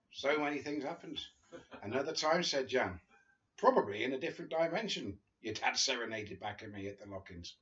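A man's voice: medium pitch, fairly bright tone, highs cut off. An older man reading a friend's memoir aloud at home, chatty, with chuckling asides between passages. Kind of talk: casual book reading to camera. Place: living room sofa, indoors.

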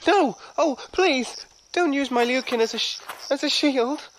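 A woman's voice: high voice, unhurried, a little hiss or hum, poor audio. A woman acting a flustered, fretful musician in an audio drama, plaintive and stammering. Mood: panicked, pleading.

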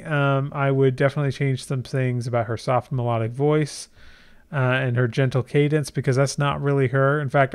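A middle-aged man hosting a casual software tutorial, explaining conversationally and thinking aloud as he goes. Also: mid-range voice, clear, resonant tone. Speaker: tutorial host.

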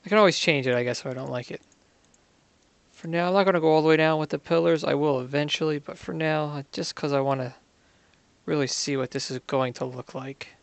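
A man's voice: on the high side, brisk, vocal fry, no room sound. A man giving casual gameplay commentary, muttering to himself and counting under his breath. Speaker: gaming video creator.

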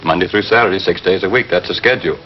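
A man's voice: low-pitched, rapid-fire, natural voice. An actor with an American accent playing an evasive, defensive counterman under police questioning, talking in a colloquial way. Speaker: counterman, suspect. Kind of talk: 1950s TV drama acting. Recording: dull 1950s TV soundtrack, faint hiss.

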